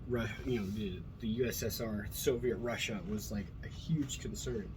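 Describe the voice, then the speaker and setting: American man, middle-aged, lower register. Earnest, blunt, off-the-cuff solo talk with profanity; clearly noisy recording. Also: steady tone, moderate pace. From Colorado, USA. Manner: earnest, blunt, swearing freely. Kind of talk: casual rambling monologue. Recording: noticeable background noise.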